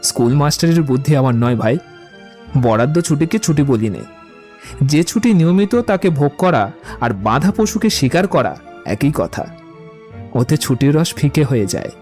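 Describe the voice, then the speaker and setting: A man's voice: a little high, sing-song, normal speed, pauses often, darkish tone. Guy playing a playful wordy young romantic gent, theatrical, bit of music under it. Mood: teasing, declamatory. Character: Amit, witty, romantic, eloquent young gentleman. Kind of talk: audio drama acting. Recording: music behind the voice.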